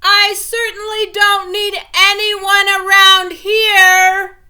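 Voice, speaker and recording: Loud, defiant little girl. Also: very high-pitched, flat delivery, slow pace, pitched above the performer's own voice, heavily acted.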